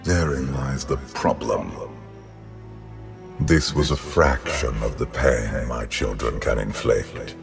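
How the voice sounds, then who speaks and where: An ancient, imperious game villain, a man with an extremely deep, gravelly voice. He speaks with slow, deliberate menace and lofty contempt, like a ruler lecturing a disappointing subject. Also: music in the background, exaggerated voice. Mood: stern, commanding. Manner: slow, deliberate, pompous, condescending. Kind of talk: theatrical video game villain acting.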